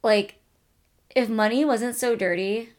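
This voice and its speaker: A young woman co-hosting a podcast, hoarse from losing her voice, talking loudly and excitedly with frequent laughs and casual swearing. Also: on the high side, unhurried. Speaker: podcast co-host. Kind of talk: casual chat.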